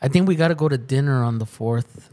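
A man's voice: medium pitch, brisk, mellow. Man, casual podcast co-host, rambling, stumbles and repeats words. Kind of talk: casual bilingual banter.

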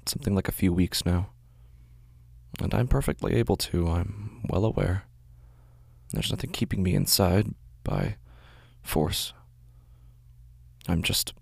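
A man playing a weary, anxious shut-in, narrating a horror audio drama in a halting, hushed, introspective way. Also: low voice, gravelly, husky, no room sound, heavily acted. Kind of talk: first-person horror audio drama monologue.

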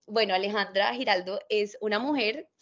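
A woman's voice: medium pitch, normal speed, fairly bright tone, muffled recording. A young woman from Cali, Colombia, with a blended Caleño and Paisa accent, chatting reflectively as a podcast guest. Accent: Colombian, mix of Cali and Paisa. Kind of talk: casual interview chat.

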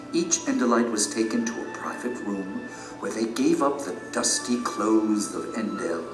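A storyteller recounting an epic fantasy journey. He is theatrical and builds suspense. His voice sits in a roomy space under loud music. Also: fairly low pitch. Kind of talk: dramatic audio drama narration. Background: dramatic music.

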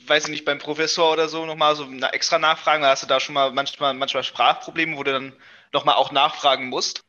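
A man's voice: on the high side, little pitch movement, fast, sharp tone, lo-fi sound. A man hosting a podcast, asking questions in a chatty, eager way. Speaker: podcast host, former defense player. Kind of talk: casual interview chat.

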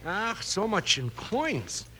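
Bright-voiced little old settler. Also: male voice, high-pitched, wildly swooping pitch, slow pace, heavily acted. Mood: earnest, stubborn. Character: proud little old male settler, weary traveller. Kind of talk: old-time radio western acting. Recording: faintly noisy old radio recording.

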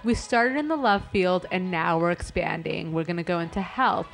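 A woman's voice: on the low side, normal speed, gravelly, faint music behind. A young woman from Los Angeles co-hosting a podcast, chatting in a bubbly way with lots of "like" fillers. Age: young adult. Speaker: podcast co-host. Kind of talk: casual podcast chat.

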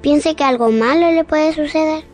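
A woman playing a perceptive, gently insistent blind young daughter in a radio drama. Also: high-pitched, moderate pace, faint music behind, lightly acted. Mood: tender, knowing.

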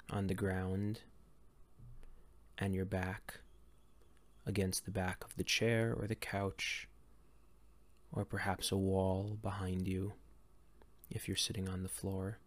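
A man leading a guided meditation in a gentle, calm, unhurried way with long pauses between phrases. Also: deep voice. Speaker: meditation guide and series host.

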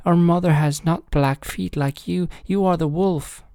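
Man doing high-pitched earnest little goat kids. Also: fairly even intonation, unhurried, darkish tone, no room sound, studio quality. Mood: defiant. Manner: childlike, firm and earnest. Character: seven little goat kids, young, cautious, defiant.

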